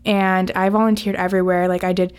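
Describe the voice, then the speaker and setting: A woman's voice: lowish voice, little pitch movement, fast talker, smooth. A young woman in her late teens, a native Spanish speaker, chatting informally as a student guest in a casual podcast interview, often saying "like". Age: late teens, high school student.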